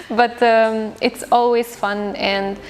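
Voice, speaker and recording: A young woman from Tunisia who speaks English as a second language after Arabic, talking as a guest teacher in a casual interview, thoughtful and pausing often to restart her sentences. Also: fairly high pitch, level tone, clear, resonant tone. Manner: thoughtful, with frequent hesitations and restarts.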